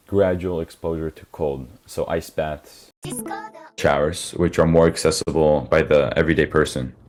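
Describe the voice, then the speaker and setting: Deep-voiced man, worn-out voice. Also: darkish tone. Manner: casual, rambling, many fillers and restarts. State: tired, worn-out voice. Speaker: lecturer. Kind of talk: informal live lecture to listeners.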